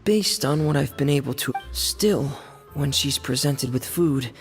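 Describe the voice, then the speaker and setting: A gloomy, soft-spoken teenage boy in a video game. The voice has a lot of creak and a tentative, subdued delivery. Faint music plays behind. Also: fairly high pitch, a little slow. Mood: subdued, thoughtful.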